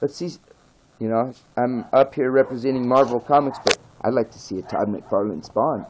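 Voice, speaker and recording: A man's voice: moderate pace, noisy background. A man who grew up in the nineties, ranting casually and with passion as a vlogger, pleading over and over and stressing his points. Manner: pleading and repetitive, emphatic, rises in pitch when begging. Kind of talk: impassioned casual rant to camera.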